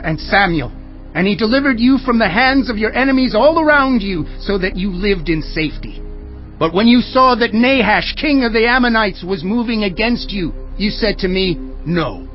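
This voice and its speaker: High-voiced stern old prophet. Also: male voice, highs cut off. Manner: declamatory, solemn, emphatic. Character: old gray prophet, stern, solemn leader addressing his people. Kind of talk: dramatized audio Bible acting.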